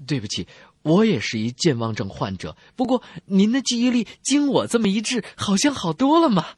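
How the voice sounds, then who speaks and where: A man's voice: high-pitched, very animated, brisk, a little hiss or hum, voice pushed up. A man voicing a genial, absent-minded doctor in a radio comedy joke, courteous and matter-of-fact.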